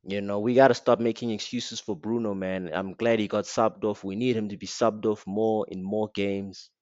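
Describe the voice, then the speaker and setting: A man's voice: fairly low pitch, flat delivery, moderate pace, darkish tone, dry recording, dull audio. A man with an African accent giving a blunt, slangy, mocking rant as a football fan reviewing a match. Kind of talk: casual rant to camera.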